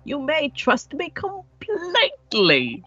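A woman's voice: high voice, wildly swooping pitch, slow, pushed and bright, voice pushed up, exaggerated voice. A woman voicing a pompous, self-important male detective in a video game, grandiose and theatrical in wordy, polite phrases. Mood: boastful. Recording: muffled lo-fi voice track.

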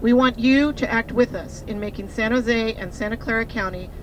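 A woman's voice: a little high, rough recording. Earnest woman speaking on a bus. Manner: earnest, measured, slowing on key phrases. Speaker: community advocate. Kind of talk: reading a prepared speech. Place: inside a moving tour bus. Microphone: handheld microphone. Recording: bus with road noise behind. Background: vehicle engine and road noise.

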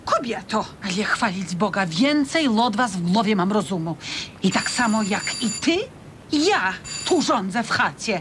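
An actress playing a simple, bossy country wife in a radio comedy serial, folksy and exclamatory, with an eastern Polish Podlasie dialect. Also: female voice, mid-range voice, expressive, a little slow, natural voice. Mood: scolding. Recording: noisy, with room ambience.

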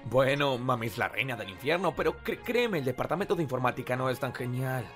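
A man playing an anxious, flustered young office-worker father in an animated comedy dub, hesitant and exasperated. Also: medium pitch, quick pace, lightly acted. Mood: resigned. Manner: exasperated, hesitant, prone to panicked yelps. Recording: music behind the dialogue.

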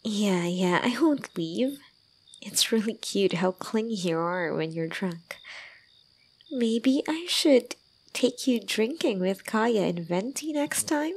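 ASMR roleplay: gentle young girlfriend caretaker, played by a woman. Slow, breathy, soft, soothing, a little teasing. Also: mid-range voice, expressive, full character voice. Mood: tender teasing. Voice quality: soft, breathy, often slipping into whispers. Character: gentle, doting young woman caring for a drunk lover. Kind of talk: ASMR roleplay, intimate one-sided dialogue.

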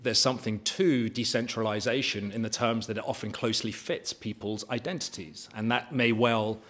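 Deliberate English man on a panel. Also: on the low side, fairly even intonation, fairly bright tone. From England. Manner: deliberate, wry, builds lists. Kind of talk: academic panel discussion. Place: lecture room, panel on stage.

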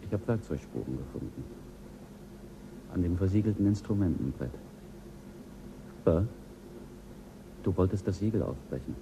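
A man's voice: sits low, animated, normal speed, gravelly, pauses often, mellow, slight background noise, rough recording. An actor playing Jell, the stern male pilot of a spaceship, in a German radio play, talking in a firm, commanding and threatening way.

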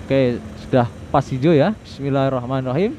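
A man's voice: medium pitch, a little fast. Man vlogging over traffic noise. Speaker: motovlogger. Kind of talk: casual riding commentary. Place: outdoors, riding a motorbike through city streets. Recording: dull lo-fi audio with clear traffic noise. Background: vehicle engines and street traffic noise.

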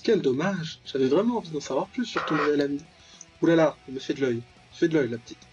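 Male let's player, higher voice, ironic and full of interjections, dull mic over game music. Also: fast talker, a little mellow. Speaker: let's play host. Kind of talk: playful game commentary, reading visual novel text aloud.

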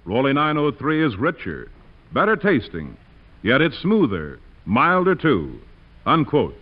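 A man's voice: mid-range voice, wildly swooping pitch, unhurried. A man working as a commercial announcer, reading an emphatic 1940s radio advertisement. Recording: muffled 1940s radio recording.

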